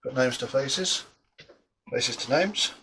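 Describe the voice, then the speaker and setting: A man's voice: mid-range voice, takes it slowly, noisy background. A middle-aged man chatting casually while building a model aircraft, rambling and often restarting his sentences.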